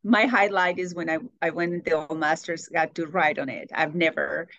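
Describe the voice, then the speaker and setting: A woman, answering interview questions warmly and pausing to search for words. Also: low-pitched, fairly even intonation, lo-fi sound. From Colombia. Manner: warm, searching for words, laughs often. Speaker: guest.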